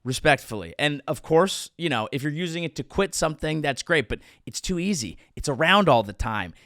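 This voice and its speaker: A man's voice: fairly high pitch, animated, a little fast, a bit bright, no echo, clean studio sound. A man in his thirties hosting a comedy show, delivering a sarcastic monologue with mock-outraged rants. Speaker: comedian host.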